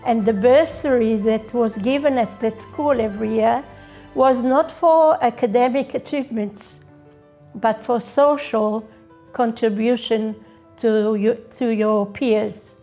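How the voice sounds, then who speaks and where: A woman's voice: fairly high pitch, slow, warm tone. A woman sharing family memories in a documentary interview. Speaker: interviewee, relative of fallen soldier. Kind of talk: personal documentary interview recollection. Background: music.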